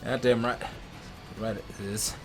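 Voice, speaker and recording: A man's voice: mid-range voice, little pitch movement, measured pace. A man with a mid-range voice co-hosts a video game playthrough. He comments casually, as in a loose chat with a friend, while faint game music plays behind him. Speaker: co-host of a gaming let's play.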